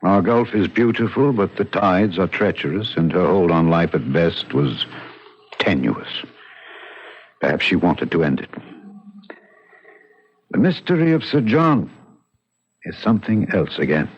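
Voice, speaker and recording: An actor playing a haunted, dutiful police official in an old-time radio mystery, grave and probing in manner. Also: male voice, sits low, animated, unhurried, long pauses, breathy edge, exaggerated voice. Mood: brooding. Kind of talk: old-time radio mystery acting, dramatic first-person narration. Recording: muffled vintage radio broadcast.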